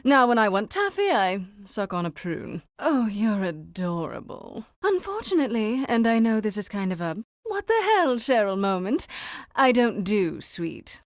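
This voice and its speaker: A woman's voice: mid-range voice, sing-song, a little slow, no echo, clean studio sound. A woman playing a brash, chatty wisecracker in a video game, telling things in a rambling, emphatic comic way. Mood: wry, amused. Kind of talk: video game character dialogue.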